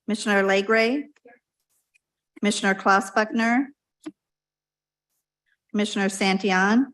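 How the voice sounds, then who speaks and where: Woman briskly calling the roll. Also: mid-range voice, fairly even intonation, a bit bright, poor audio. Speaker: commission staff calling the roll. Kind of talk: formal roll call. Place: public meeting hall.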